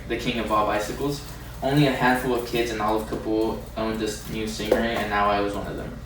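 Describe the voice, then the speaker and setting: A man's voice: on the low side, natural voice. A man reading a scripted readers' theatre part as a narrator looking back on boyhood. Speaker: student performer. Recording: echoey room, distant mic, noisy.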